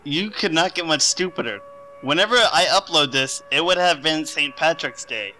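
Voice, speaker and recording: A man's voice: fairly high pitch, moderate pace, low-quality recording. A young man hosting a casual gaming session, drawling and exasperated as he teases his friends. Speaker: channel host, gamer. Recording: loud background noise.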